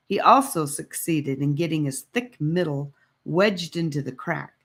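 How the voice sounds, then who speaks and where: A woman reading an adventure audiobook as a dramatic storybook narrator. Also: low-pitched.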